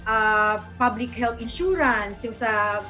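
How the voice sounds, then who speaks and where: A woman's voice: on the high side, slow, pushed and bright. A woman with a Filipino accent chatting casually as a guest on a panel. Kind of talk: casual chat in mixed Tagalog and English. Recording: music behind the voice. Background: background music.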